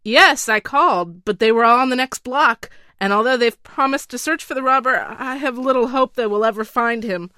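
A woman's voice: a little high, moderate pace, few pauses, bright, forceful tone, smooth, no echo, voice pushed up. A woman voicing a frightened, guilty male royal counselor who speaks in a shaking voice, in a storybook reading. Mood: anxious. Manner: shaking, pleading voice.

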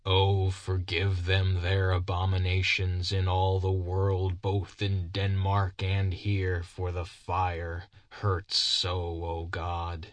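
A man's voice: low voice, completely flat delivery, takes it slowly, few pauses, no room sound. A man voicing a haggard, simple-minded man who earnestly preaches doom and prays in biblical cadence. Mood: earnest prayer.

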